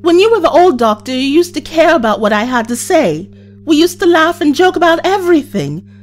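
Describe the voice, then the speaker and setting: A woman's voice: high-pitched, very animated, a little fast, faint music behind. A woman playing a time traveller's hurt young companion in an audio drama, earnest and reproachful. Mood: upset, pleading.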